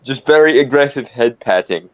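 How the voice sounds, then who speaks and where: A man's voice: mid-range voice, lively intonation, normal speed, muffled recording. A man playing a tabletop roleplay game, chatting casually and hesitantly, restarting and repeating words. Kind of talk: casual chat.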